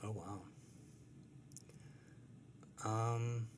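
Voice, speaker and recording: A man's voice: lowish voice, fairly even intonation, extremely slow, background noise. A man who is a cartoonist, talking casually in an interview, rambling and correcting himself, laughing easily. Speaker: cartoonist guest.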